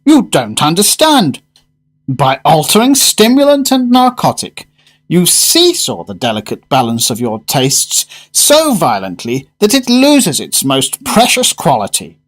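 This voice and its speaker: An actor playing a fussy, fervent gourmet in a radio drama, speaking in grandiose, emphatic phrases. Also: male voice, on the high side, huge pitch swings, a little slow, heavily acted. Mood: earnest, lecturing. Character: fussy, fervent gourmet diner, a well-spoken man.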